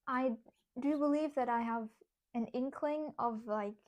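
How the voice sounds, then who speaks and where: A woman's voice: high-pitched, a little slow, darkish tone. A young woman from Germany with a German accent touched by British English, chatting casually with her stream chat while she works on crafts, laughing often. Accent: German, with some British English. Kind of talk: casual craft livestream chat, reading chat aloud.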